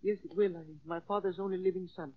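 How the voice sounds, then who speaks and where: Courteous young host, high voice. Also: male voice, flat delivery, fast talker, no echo. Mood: subdued. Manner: formal, courteous, stilted phrasing without contractions. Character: polite, formal young male Chinese restaurant host. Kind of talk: 1940s radio drama acting. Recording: muffled old radio recording.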